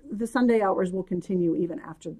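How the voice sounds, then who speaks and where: A New woman, slightly hoarse, co-hosting a casual chat about her yarn shop, rambling along with fillers. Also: on the low side, fast talker, dark tone. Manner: chatty, rambling with fillers and laughs. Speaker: yarn shop owner and podcast co-host.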